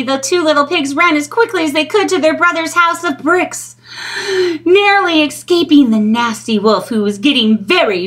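Female storybook reader, middle-aged, higher-pitched, unhurried, expressive read-aloud delivery.

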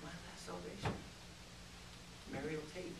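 An actor performing a stage monologue as a boastful, self-pitying Hollywood producer, theatrical and halting in delivery. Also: male voice, lightly acted. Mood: wistful, pleading. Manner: theatrical, halting, swinging from hushed to shouting. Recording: roomy, distant mic, loud background noise.

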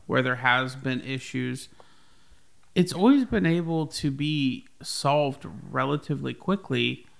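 A young man speaking earnestly into a boom studio mic at home, peppering his talk with fillers. His tone is bright and forward with a gravelly edge, while staying crisp and clean. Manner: earnest, filler-heavy with "you know". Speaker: podcast co-host, label runner. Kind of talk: casual conversation. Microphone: boom-mounted studio mic.

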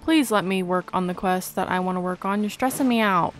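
A woman's voice: fairly low pitch, fairly quick. A woman giving casual gameplay commentary as a gaming host, informal and mock-exasperated. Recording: music in the background.

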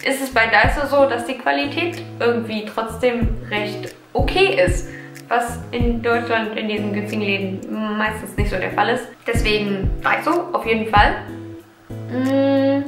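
A woman in her twenties from Germany talks casually from her sofa, pausing now and then to find the right words. Her voice sits high, and the room gives it a noticeable echo. Music plays softly in the background. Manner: chatty, reflective, searching for words. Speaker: vlogger answering viewer questions. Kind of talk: casual Q&A vlog. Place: living room, seated on sofa.

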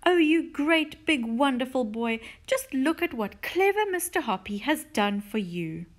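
A woman voicing an excitable, gushing lady who dotes on her pet, in a children's storybook, full of polite exclamations. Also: sits high, unhurried, voice pushed up, heavily acted. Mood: delighted, gushing.

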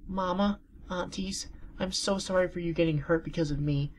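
A man voicing a small, timid pony colt in a high, soft childlike voice as he reads fan fiction aloud. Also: fairly even intonation, a little hiss or hum, natural voice. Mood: pleading. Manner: high soft childlike falsetto, slow and pleading.

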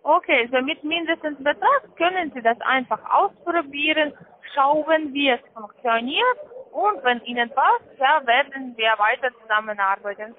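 A woman with a foreign accent giving a sales pitch over the phone, in halting, careful non-native phrasing. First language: not German. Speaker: call centre account manager. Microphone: telephone. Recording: muffled narrow-band phone line.

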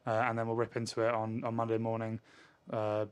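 A man, a rugby player answering questions in a post-match interview in a measured way. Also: fairly low pitch, completely flat delivery, smooth. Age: 20s. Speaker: professional rugby player, winger. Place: indoors in front of a sponsor backdrop. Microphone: handheld interview microphone held at chest height.

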